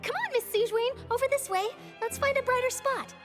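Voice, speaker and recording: A woman's voice: very high voice, fairly quick, a bit bright, faint music behind. An actress playing a bubbly, inquisitive young woman news reporter in a video game, effusive, playful and polite. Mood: eager, brisk.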